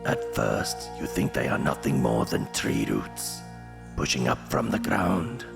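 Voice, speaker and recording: A man playing a menacing fantasy gamebook narrator, addressing the listener with theatrical, deliberate dread. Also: extremely low pitch, sing-song, gravelly, over music, full character voice. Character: ominous gamebook narrator addressing the reader as adventurer.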